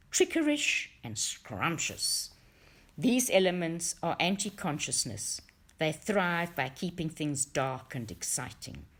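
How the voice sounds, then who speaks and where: A woman narrates a book aloud in a low voice. Her intonation swings widely, and she places deliberate, expressive emphasis on key words as she reads. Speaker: audiobook reader for a study group.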